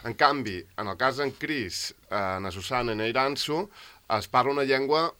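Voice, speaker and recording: A man's voice: medium pitch, normal speed, pushed and bright, clean tone. A man hosting a group conversation, asking questions and summing up each guest's answer. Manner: guiding, asks short questions and sums up answers. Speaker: host.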